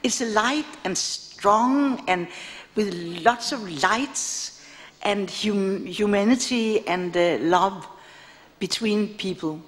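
An older woman with a Danish accent, slowly groping for words while answering the press into a table microphone. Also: medium pitch, big-room sound. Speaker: film actress at a press conference. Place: large press conference room.

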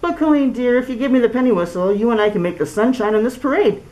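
A tricky little leprechaun man from a children's storybook, played by a woman. He coaxes and pleads in a quaint, theatrical way. His pitch swings freely up and down. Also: animated, quick pace, a little mellow, lightly acted, low-quality recording. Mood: pleading.

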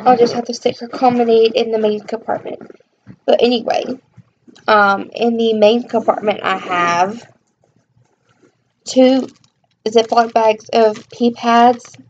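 A woman's voice: a little high, darkish tone. Chatty young woman on a lo-fi webcam. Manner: chatty, rambling, lots of ums. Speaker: vlogger. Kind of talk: casual show-and-tell vlog. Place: bedroom, indoors. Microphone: webcam at close range. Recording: dull lo-fi webcam audio with faint hiss.

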